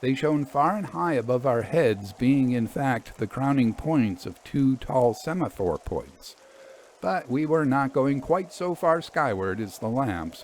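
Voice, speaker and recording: A man reading scripted narration as a storytelling host, expressive and deliberate. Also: medium pitch, slight background noise.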